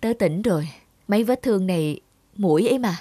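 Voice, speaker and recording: A woman's voice: medium pitch, expressive, a little fast, mellow, slightly rough, no echo, clean studio sound. A woman playing a carefree, daring young male stuntman in a cartoon, joking and nonchalant. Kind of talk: animated cartoon dialogue.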